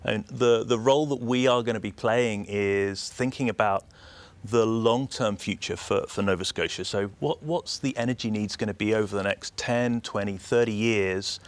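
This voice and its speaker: A man with a British accent giving measured, explanatory answers as an executive being interviewed. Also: lowish voice. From United Kingdom. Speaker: energy system operator executive, interview guest.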